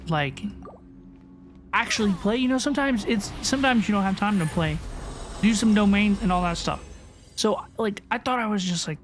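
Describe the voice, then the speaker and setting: Woman streamer chatting casually over music. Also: medium pitch. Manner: chatty, repeats phrases for emphasis. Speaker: livestream host. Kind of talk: casual livestream gaming chat. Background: game music.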